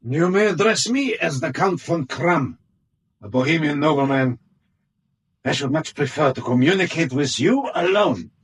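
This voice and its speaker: A man's voice: a little high, animated, normal speed, slightly rough, rough recording. An actor playing a proud Bohemian king in a radio drama, with a pompous, formal manner. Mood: haughty.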